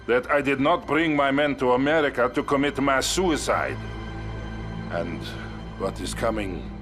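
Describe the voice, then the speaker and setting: An actor playing a bitter, defiant Soviet colonel with a Russian accent in a video game drama. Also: male voice, mid-range voice, animated, a little slow, music in the background, natural voice. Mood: bitter, firm.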